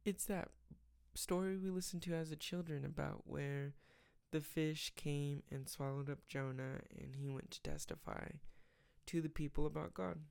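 Raspy, tired podcaster with gravelly fry. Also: mid-range voice, fairly even intonation, normal speed, a little mellow. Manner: rambling, many fillers and restarts. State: tired, late at night. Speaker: podcast host. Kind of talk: casual faith podcast with prayer.

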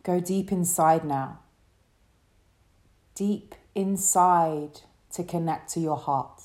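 A woman leading a guided relaxation meditation as a yoga teacher, speaking in a soothing, gentle way. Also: lowish voice. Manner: soothing, gentle, unhurried instruction.

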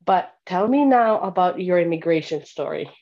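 Woman podcast host, low voice, upbeat and encouraging, muffled lo-fi sound. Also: lively intonation, moderate pace, darkish tone. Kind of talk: casual interview chat.

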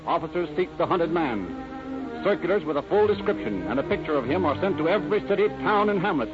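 Male crime-drama narrator, fairly high voice, vintage radio with muffled sound and music behind. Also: big pitch swings, fast.

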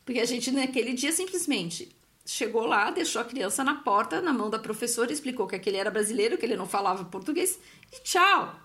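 A woman from Brazil, living abroad, telling a family story in a relaxed, animated way, often laughing and acting out what others said. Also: on the high side, quick pace. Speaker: guest. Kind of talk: casual conversational storytelling. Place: Italy.

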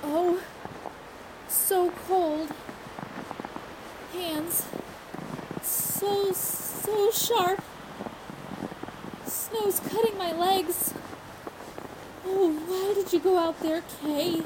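Woman doing a scared young woman in a horror radio play, really high pleading voice, devoted and breathless, noisy recording. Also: steady tone, extremely slow, pauses often, full character voice. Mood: anguished. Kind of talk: radio horror drama acting.

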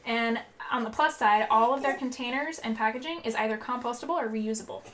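A woman co-hosting a podcast, reading a sponsor spot in quick, chatty banter. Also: on the high side, fairly bright tone. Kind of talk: upbeat ad read in casual banter.

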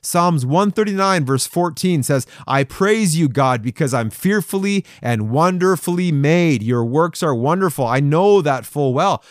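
A man's voice: a little high, animated, a little slow, hardly any pauses, no room sound, clean studio sound. A man in his forties giving a folksy, encouraging sermon to men, with playful asides and chuckles. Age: 40s. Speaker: men's devotional speaker. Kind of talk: conversational podcast sermon.

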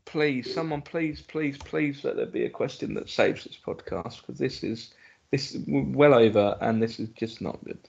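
A man's voice: mid-range voice, moderate pace, creaky, a little mellow, slightly rough, muffled recording. A man in his thirties co-hosting a comedy podcast, chatting casually with jokey, sweary banter. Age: 30s. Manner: sweary, joking. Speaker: podcast co-host.

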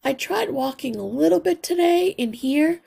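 A woman voicing a thoughtful, sickly young mouse boy in a children's storybook, earnest and calm. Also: sits high, vocal fry, voice pushed up, exaggerated voice. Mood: serious, calm. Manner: earnest, measured explaining. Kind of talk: children's storybook read-aloud.

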